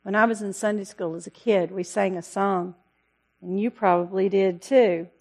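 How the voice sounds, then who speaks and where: A woman missionary giving an earnest, pleading talk to a church congregation, with a loud voice. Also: medium pitch, steady tone, moderate pace. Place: church service.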